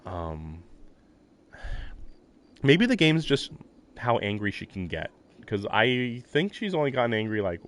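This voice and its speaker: Low-voiced rambling man streaming a visual novel. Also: big pitch swings, measured pace, long pauses, fairly bright tone. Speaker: livestreamer playing a visual novel. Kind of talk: reading game narration aloud with casual commentary. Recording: game music playing behind. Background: video game music.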